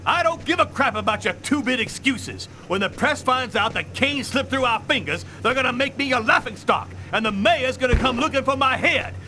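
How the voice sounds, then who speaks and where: Irate police captain, sharp high voice, barking, sarcastic, video game drama, faint music behind. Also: male voice, lively intonation, moderate pace, hardly any pauses, heavily acted, poor audio. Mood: furious.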